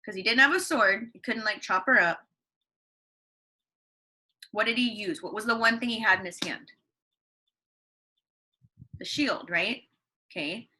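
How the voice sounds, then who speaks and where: Brief: young woman teacher, bright ringing voice, upbeat, quizzes her class and answers herself, computer mic at home. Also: mid-range voice, a little slow, pauses often, poor audio. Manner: upbeat, asks questions and answers them herself. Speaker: elementary school teacher. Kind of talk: read-along lesson for young students at home. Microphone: computer microphone.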